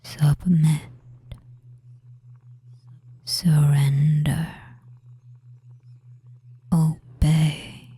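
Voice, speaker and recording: Femboy witch hypnotist, male performer: very dark, soft whisper, flat and lulling, quietly dominant, for ASMR roleplay. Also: a little high, heavily acted. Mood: hushed commanding. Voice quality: breathy whisper. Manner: very slow, lulling, murmured commands with long pauses.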